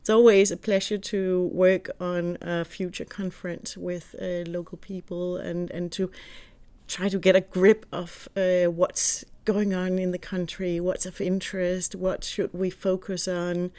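A woman's voice: lowish voice, level tone, measured pace, mellow. A woman from Denmark, introducing herself in an interview with a few hesitations. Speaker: director of an organization.

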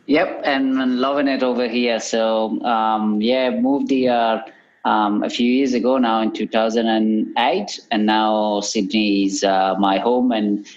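Italian guy on a podcast, kinda low voice, lots of ums and restarts, muffled lo-fi sound. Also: fairly even intonation. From Italy. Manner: halting, many fillers and restarts. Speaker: podcast guest. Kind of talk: casual interview answers.

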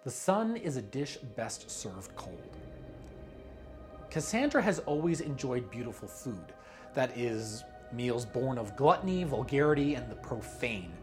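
A man reading a scripted promotional pitch as a creative director, with dramatic storyteller-like emphasis. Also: very animated, measured pace, a little mellow, soft background music.

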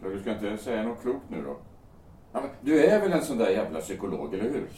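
A man's voice: lowish voice, big pitch swings, dark tone. An actor playing a volatile, desperate man in an audio drama, speaking bluntly and sardonically with swearing. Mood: suspicious, sardonic. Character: volatile, suspicious, desperate man threatening to jump. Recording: roomy sound, distant from microphone.

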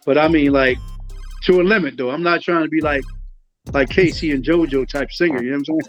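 A man's voice: a little high, brisk. A man from Liberia, a musician talking casually in an interview, laid-back and full of slang and fillers. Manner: laid-back, slangy, many fillers and "you know what I'm saying". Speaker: guest musician. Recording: dull lo-fi sound with faint music.

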